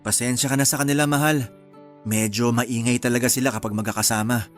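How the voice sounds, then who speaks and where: An actor playing a calm, easygoing young husband in a radio drama, speaking in a reassuring way. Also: male voice, mid-range voice, quick pace, faint music behind, lightly acted.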